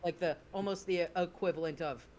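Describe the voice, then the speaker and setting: A player in a tabletop game chatting casually and jokingly, with an animated, sweary manner. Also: mid-range voice, brisk, a little hiss or hum.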